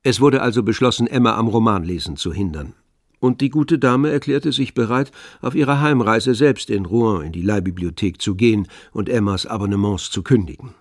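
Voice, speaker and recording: A man's voice: lowish voice, normal speed, no room sound, clean studio sound. A man narrating a radio drama adapted from a novel in a scripted literary style.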